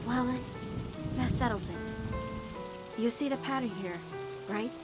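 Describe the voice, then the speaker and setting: A woman voicing a caring, steadfast teenage witch girl in an animated-style comic dub, reasoning firmly and tenderly. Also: on the high side, measured pace, over music, natural voice.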